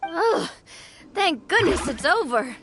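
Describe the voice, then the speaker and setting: Game heroine: playful young woman adventurer, high and animated, unhurried pace. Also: background noise, exaggerated voice. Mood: playful, relieved. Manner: theatrical, playful. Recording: faint music behind the voice.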